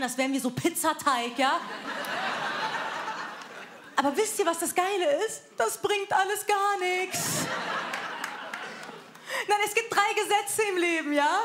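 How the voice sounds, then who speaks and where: A woman's voice: sits high, background noise. A woman in her thirties doing brash, self-mocking stand-up comedy on a live stage. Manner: brash and self-mocking, announces acts energetically. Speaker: comedy show host and comedian. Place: live comedy club with audience. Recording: live stage mic, crowd laughter.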